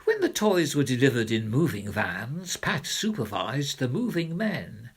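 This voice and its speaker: Male narrator for rhyming children's storybook: theatrical, highly varied pitch, slow pace, slightly husky. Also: big pitch swings. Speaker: narrator, reader of children's poems.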